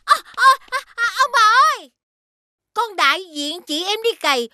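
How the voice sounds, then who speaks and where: Super high squeaky-bright village farm lady, cartoon, excitable, friendly, bit of music behind. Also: normal speed, dry recording, full character voice. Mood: excited. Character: eager, neighborly village farm woman. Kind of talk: animated folktale dubbing.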